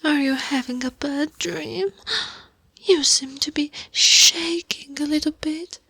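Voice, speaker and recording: A woman playing an obsessive, sweetly menacing goth girlfriend in an ASMR roleplay, soft, cooing and possessive, often dropping into whispers. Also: sits high, steady tone, takes it slowly, exaggerated voice. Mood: tender, menacing. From Italy.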